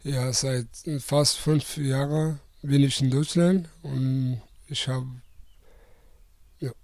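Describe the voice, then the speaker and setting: A young man in his twenties from Afghanistan who answers interview questions haltingly, searching for words and restarting often. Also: medium pitch, steady tone, darkish tone, no echo. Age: 20s.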